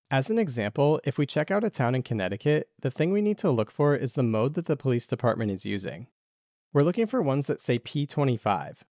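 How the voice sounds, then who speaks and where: A man's voice: mid-range voice, a little mellow, no echo, clean studio sound. A man narrating a casual DIY tech tutorial as the video's host.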